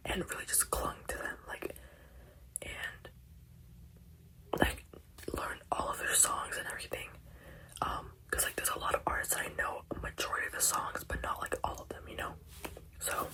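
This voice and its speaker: A whispering ASMR host who sounds congested from crying, rambling in a soft, meandering way with many fillers. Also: mid-range voice, vocal fry, poor audio.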